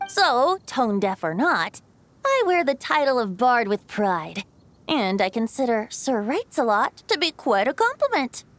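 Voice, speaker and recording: High, playful boyish bard. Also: female voice, big pitch swings, measured pace, fairly bright tone. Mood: playfully proud. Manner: eloquent, playful. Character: youthful male bard, whimsical, airy and playfully proud. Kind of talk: video game voice acting. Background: game music.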